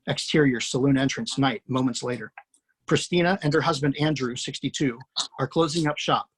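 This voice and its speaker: Male reader of script action lines, husky, steady delivery. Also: medium pitch, a little fast, low-quality recording. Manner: steady, matter-of-fact. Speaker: screenplay reader. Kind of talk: reading screenplay action lines.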